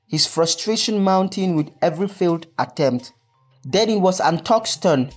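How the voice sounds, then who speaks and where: A storyteller reading a scripted folktale narration. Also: medium pitch.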